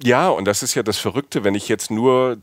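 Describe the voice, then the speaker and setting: A man's voice: mid-range voice, moderate pace, no room sound, clean studio sound. A man from south-west Germany, middle-aged, chatting casually and enthusiastically as a podcast host. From Palatinate, south-west Germany. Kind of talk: casual chat.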